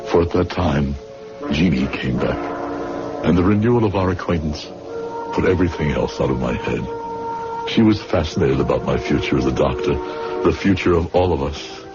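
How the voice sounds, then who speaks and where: An actor playing a conscience-stricken young medical student, earnest and literary, in a radio drama. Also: male voice, extremely low pitch, lively intonation, moderate pace, vocal fry, exaggerated voice. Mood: rueful. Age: young adult. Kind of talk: radio drama acting and narration. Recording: muffled lo-fi radio recording, loud music.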